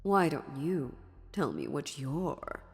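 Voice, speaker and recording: A woman's voice: low voice, big pitch swings, vocal fry, heavily acted. A woman playing an ancient, dominant demon in a fantasy audio roleplay, mocking and menacing, with a condescending, theatrical delivery. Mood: cold menace. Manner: slow, condescending, sardonic, theatrical. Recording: long reverberant hall, music underneath.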